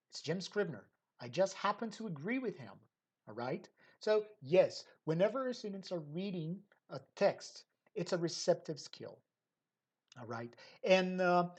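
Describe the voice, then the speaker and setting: A woman's voice: on the low side, darkish tone, slightly rough. A woman teacher trainer giving an unscripted explanatory lecture, stressing and repeating key points and often checking in with listeners. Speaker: teacher trainer hosting an educational episode.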